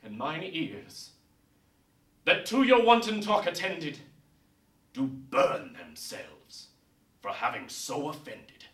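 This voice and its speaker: A man playing a bashful but disdainful young man in a deliberate, emphatic recital of Shakespeare verse. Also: a little high, big pitch swings, fairly bright tone, full character voice. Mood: earnest, ashamed. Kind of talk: theatrical Shakespeare verse monologue. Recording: roomy echo, distant mic.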